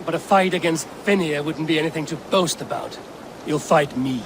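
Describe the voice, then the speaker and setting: An actor playing a seasoned Viking warrior who speaks in a measured, commanding way. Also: male voice, a little high, background noise, close to an everyday voice. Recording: game cutscene with loud ambience.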